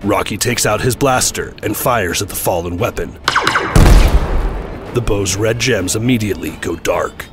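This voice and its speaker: A man's voice: on the low side, moderate pace. A man reading scripted narration for an action audio drama. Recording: voice over loud trailer music.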